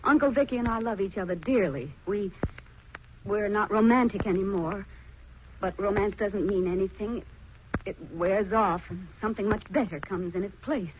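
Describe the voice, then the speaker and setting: Female lead, actress as unhappy married woman, pleading yet restrained, medium pitch, 1940s radio drama, muffled and noisy. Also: normal speed, close to an everyday voice. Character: troubled, gentle young married aunt. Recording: noisy, band-limited 1940s radio recording.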